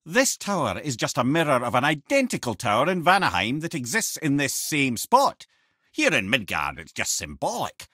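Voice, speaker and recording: An actor with a Scottish accent playing a wry, worldly old storyteller and adviser in a video game, husky and eloquent, speaking with theatrical flair and dry asides. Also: male voice, mid-range voice, wildly swooping pitch, normal speed, sharp tone, no echo, exaggerated voice. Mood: wry, conversational. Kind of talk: video game character dialogue and mythic storytelling.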